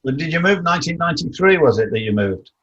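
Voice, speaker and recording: A man's voice: fairly high pitch, big pitch swings, fast talker, fairly bright tone, muffled recording. A man co-hosting a martial arts podcast, chatting in a jokey way and laughing easily. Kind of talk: casual chat.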